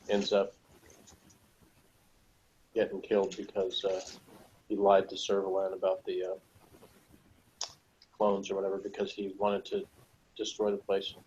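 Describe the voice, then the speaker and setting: A man's voice: lowish voice, a little mellow. A man co-hosting a review in casual chat, with a hesitant, rambling way of talking. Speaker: review show co-host. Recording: dull, lo-fi sound.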